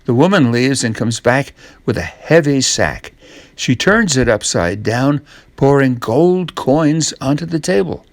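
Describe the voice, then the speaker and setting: A man narrating a scripted children's story, reading aloud in a measured, expressive way. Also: mid-range voice, no echo.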